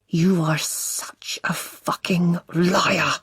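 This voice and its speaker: A man's voice: sits high, steady tone, very slow, fairly bright tone, husky, no room sound, clean studio sound, exaggerated voice. A woman voicing a furious, growling abusive man. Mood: hissing rage. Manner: growling, strangled rage, whispered menace.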